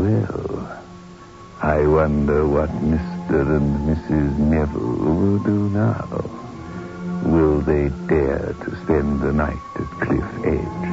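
A man's voice: very deep voice, creaky, exaggerated voice. An actor playing a sinister, taunting male horror host in an old radio show, drawing out words ominously. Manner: slow, ominous, drawn-out. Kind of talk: 1960s radio horror introduction. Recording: muffled old radio recording with music.

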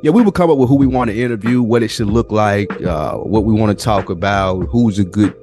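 Low-voiced man chatting over music. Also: brisk. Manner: informal, animated storyteller with slang and swearing. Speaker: podcast host. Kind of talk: casual podcast chat.

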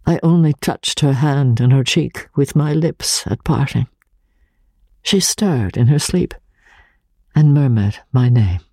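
A man narrates classic fiction in a measured, dramatic style. His medium-pitched voice has a rough, breathy grain and moves expressively up and down. The sound is close and dry, suited to a solemn literary reading. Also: mellow, dry recording. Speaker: audiobook narrator.

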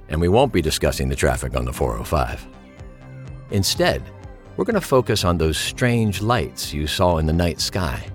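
An actor playing an earnest, courteous host of a late-night paranormal radio show, speaking in a measured, solemn and reassuring way. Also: male voice, low-pitched. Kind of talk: late-night radio monologue and call-in hosting.

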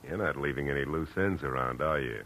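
A man's voice: a bass voice, a little fast, a bit bright, a little hiss or hum. An American man playing a tough, wry private detective in clipped, slangy 1940s radio drama style. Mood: wry, guarded.

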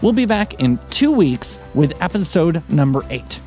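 A man's voice: a little high, sing-song, measured pace, dark tone, soft background music. A man reading scripted podcast credits and listener requests in a friendly announcer style. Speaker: credits announcer and sound designer.

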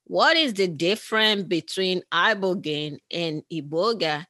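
An interviewer from Nigeria, asking slow, carefully repeated questions on a remote call. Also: male voice, sits high, expressive, pushed and bright, no echo, clean studio sound.

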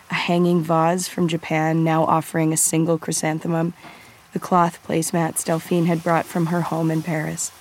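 A woman narrates scripted fiction in a low voice. She reads as an audiobook storyteller, setting scenes and describing characters. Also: a little mellow, poor audio.

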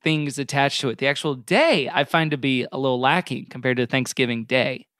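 A man's voice: medium pitch, brisk, a bit bright, no room sound, studio quality. A man hosting a podcast in casual comedic chat, with a jokey, mock-earnest way of talking.

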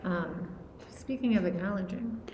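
A woman's voice: medium pitch, measured pace, highs cut off, background noise. Chatty woman interviewer in a roomy space. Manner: chatty, filler-heavy questions. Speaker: podcast co-host, interviewer. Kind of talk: casual interview questions. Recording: roomy echo.

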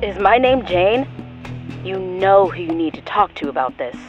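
Woman playing sarcastic blunt office coworker, deadpan, bit muffled, dark comedy podcast, faint music. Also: mid-range voice, moderate pace, natural voice. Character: blunt, sardonic female office colleague at bus company.

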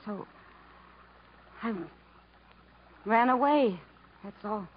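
A woman's voice: fairly high pitch, extremely slow. An actress playing a worn-down, fatalistic woman on the run, wistful and wry, in 1950s radio drama style. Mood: wistful, resigned. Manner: wistful, wry, world-weary. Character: worn-down, bitter, fatalistic woman fleeing through the jungle. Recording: muffled, hissy 1950s radio broadcast.

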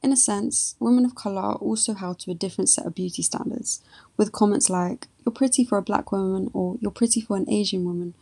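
Woman co-presenter, dark husky tone with vocal fry, formal scripted read. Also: mid-range voice. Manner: formal and measured, reading from a script. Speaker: podcast co-presenter. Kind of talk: scripted narration.

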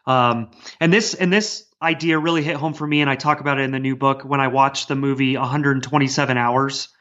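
A man's voice: mid-range voice, steady tone, a little fast, a bit bright. A man speaking earnestly and discursively as a guest author in a casual podcast conversation. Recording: dull, lo-fi sound.

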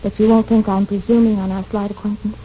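An actress playing a gentle, helpful young woman in a 1940s radio drama, soft-spoken, polite and earnest. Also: medium pitch, little pitch movement, quick pace, close to an everyday voice. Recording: muffled, noisy 1940s radio recording.

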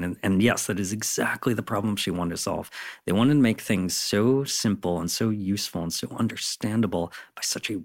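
A man's voice: fairly low pitch, vocal fry. A man speaking as an interview guest, explaining things in an enthusiastic, conversational and emphatic way. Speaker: interview guest, content designer.

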